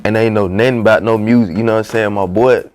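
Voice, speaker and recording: Low-voiced young man, laid-back storytelling. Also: steady tone, racing, a little mellow. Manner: loose, slangy, peppered with "you know what I'm saying". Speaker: podcast guest, rapper. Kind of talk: casual storytelling interview.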